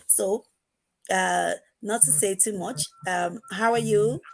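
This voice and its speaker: A woman hosting an interview, introducing her guest in a measured, hesitant way. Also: medium pitch, steady tone, rough recording. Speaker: host.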